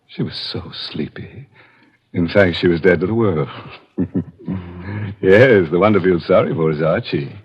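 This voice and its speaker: An actor playing a macabre, sardonic storyteller in 1940s radio horror, insinuating and mock-sympathetic. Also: male voice, deep voice, expressive, unhurried, long pauses, breathy edge, heavily acted. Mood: gleefully ghoulish. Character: macabre, sardonic male storyteller, gleefully ghoulish. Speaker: narrator and host of a thriller series. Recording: muffled vintage radio recording with loud music.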